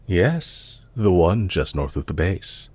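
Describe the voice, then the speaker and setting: Guy acting as a genteel villain boss, very low husky voice, polite n measured, audio roleplay. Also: big pitch swings, no echo, heavily acted. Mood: concerned, apologetic. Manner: polite, measured, formal, softly commanding. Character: courteous villain leader, calm, genteel, solicitous captor. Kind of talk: scripted roleplay audio drama.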